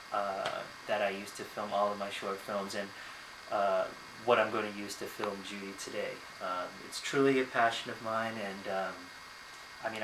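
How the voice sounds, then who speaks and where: A young man from America talking casually to camera in his bedroom, hesitant and full of ums and uhs. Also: fairly low pitch. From United States. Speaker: vlogger. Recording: echoey room, noisy background.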